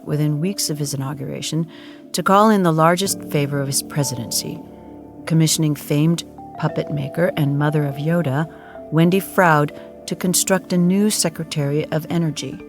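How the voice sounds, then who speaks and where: A solemn narrator of mid-range pitch tells a comic audio drama as if it were an epic. The delivery is scripted and dramatic, with music clearly playing behind it.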